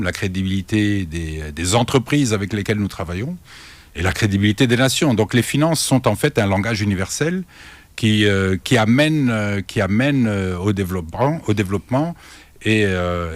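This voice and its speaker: An older man from Congo, a guest in a radio interview, speaking haltingly with many restarts. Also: fairly low pitch, dry recording. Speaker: guest, banking consultant.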